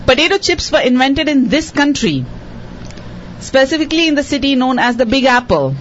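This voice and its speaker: A woman hosting a quiz show for children, repeating each question slowly and cheering the contestants on. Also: high voice, big pitch swings, muffled recording, slight background noise. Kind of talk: hosting a radio quiz for kids.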